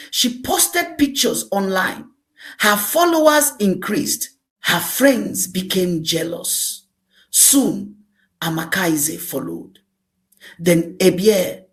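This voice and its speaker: A woman narrating a scripted dramatic folktale as a storyteller, speaking deliberately in short, emphatic phrases. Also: fairly low pitch.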